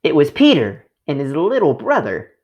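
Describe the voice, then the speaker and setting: A man reading a children's story aloud in a deliberate, expressive narrating style. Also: fairly high pitch. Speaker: storybook reader.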